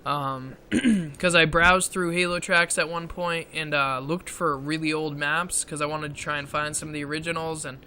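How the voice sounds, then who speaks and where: A man giving casual commentary over gameplay footage, halting with many fillers, clearing his throat often, his voice strained from talking so long. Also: on the high side, fairly even intonation, pushed and bright, a little hiss or hum. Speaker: gaming video creator.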